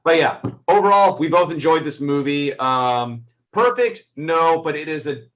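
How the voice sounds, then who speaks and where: A man in a casual, unscripted movie review chat, speaking in an animated, exasperated and emphatic way. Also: a little high, normal speed, rough recording. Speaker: movie reviewer, guest co-host.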